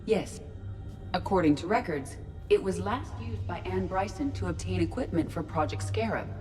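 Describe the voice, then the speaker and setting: A woman voicing a calm ship artificial intelligence in a video game, speaking in a measured, formal, analytical way. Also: lowish voice, faint music behind, lightly acted. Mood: measured, matter-of-fact.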